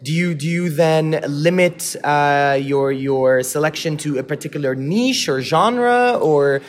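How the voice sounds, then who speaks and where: A man speaks as a casual interviewer. He phrases questions haltingly, often doubling back on his words. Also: on the high side. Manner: halting, repeats words while forming questions. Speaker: interviewer, host.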